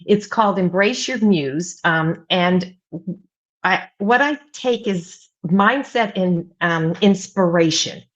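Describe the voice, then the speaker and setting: A woman's voice: lowish voice, lively intonation, slow, dull audio. A middle-aged woman who grew up in the United States, a writing coach talking casually as a guest, rambling with many fillers and restarts. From United States, mostly Virginia Beach. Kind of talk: casual interview talk.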